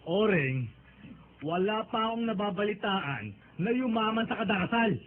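A man's voice: high voice, expressive, normal speed. An actor playing a bitter, jobless older Filipino father in a radio drama, scolding and sarcastic. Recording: tinny phone-like band, noisy.

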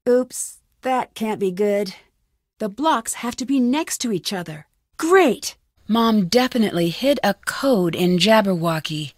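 A woman playing a plucky, quick-witted young female detective in a video game, thinking aloud in clearly spoken one-liners. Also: mid-range voice, no room sound, studio quality. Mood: focused, then surprised. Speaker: game protagonist.

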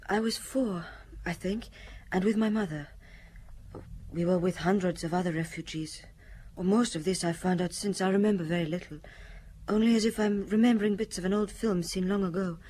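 A woman playing a sad, quiet young refugee widow in a radio drama, speaking in a halting, reflective way. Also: fairly low pitch, steady tone, slight background noise, close to an everyday voice. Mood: sad, remembering.

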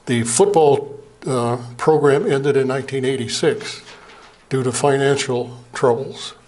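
An older man, an Air Force veteran, giving a plain, halting slide presentation from a lectern in a small museum meeting room, heard from a camera across the room. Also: medium pitch, a little mellow. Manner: plain, unhurried, halting with fillers. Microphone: far camera, speaking at a lectern.

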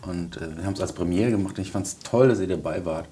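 A man in his forties speaks in a deep, low register, as if thinking aloud for a casual vlog. He takes his time and pauses between thoughts. Music plays faintly behind him. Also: dark tone. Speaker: vlogger, audio drama maker. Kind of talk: casual video diary. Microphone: wireless clip-on lavalier.

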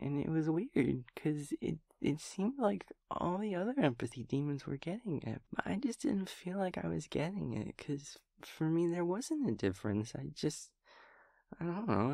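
A man playing a gentle, naive, scatterbrained young daemon friend in an audio roleplay, speaking in hesitant, childlike rambles. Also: on the high side, creaky, dry recording, clean studio sound, heavily acted. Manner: hesitant, stammering, rambling, childlike, softly giggling.

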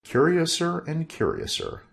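A man's voice: on the high side, huge pitch swings, a little slow, gravelly, fairly bright tone, pitched above the performer's own voice, exaggerated voice. Man doing a dry, grumbling hero.

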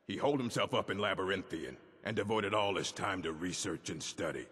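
An actor playing a gruff, learned old orc librarian in a video game, with a gravelly rasp and a measured, curt delivery. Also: male voice, low voice, a bit bright. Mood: dry, measured. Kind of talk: video game dialogue acting. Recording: long reverb of a stone hall.

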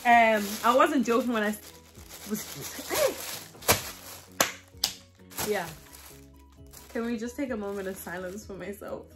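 Brief: young woman, fashion haul vlogger, bubbly and chatty, slightly high voice, faint music behind. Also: measured pace, pauses often. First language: not English. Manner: animated, chatty, laughs often, addresses viewers as guys. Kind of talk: casual haul try-on vlog. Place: home living room, indoors.

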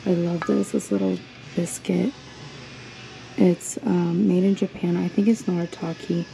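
A woman's voice: lowish voice, steady tone, unhurried, creaky, mellow, soft background music, low-quality recording. A woman narrating a casual shopping vlog while browsing an antique mall, chatty and admiring, with frequent ums, recorded on a handheld camera. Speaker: reseller vlogger. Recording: fan noise in the background.

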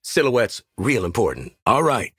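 A no-nonsense, cocky mercenary marksman from a video game. His lines are punchy, streetwise and wry, with a very animated pitch. The voice is husky and sits in a dry, close recording. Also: measured pace, no room sound, full character voice. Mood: brisk, commanding.

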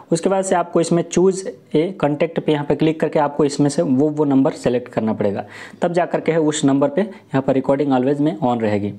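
Young man, soft dark timbre, explanatory tutorial talk, repeats and rephrases, lapel mic indoors. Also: on the high side. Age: young adult. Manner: explanatory, repetitive, rephrasing points. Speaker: tech tutorial presenter. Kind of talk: step-by-step tutorial talk to camera. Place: small room indoors.

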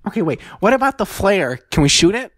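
A man's voice: sits high, very animated, fairly quick, a bit bright, no room sound, clean studio sound. A man in his twenties casually chatting and narrating improvised comedy on a video call. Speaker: improv podcast performer. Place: home room, video call.

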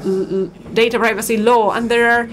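A woman interpreting a startup pitch in real time, talking fast and steadily with occasional fillers. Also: steady tone. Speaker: simultaneous interpreter. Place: conference auditorium. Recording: auditorium feed with crowd and noise.

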